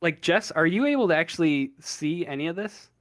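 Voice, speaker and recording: A man running a tabletop horror game as game master, narrating with many pauses and fillers. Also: fairly high pitch, lively intonation, a bit bright, no room sound, studio quality. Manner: halting, many ums and uhs. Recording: online voice chat.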